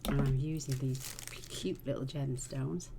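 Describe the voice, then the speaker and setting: Woman, low voice, gravelly, chatty crafting tutorial, noisy home recording. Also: fairly even intonation, unhurried. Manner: chatty, homely, talks while working. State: croaky voice. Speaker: card-making channel host.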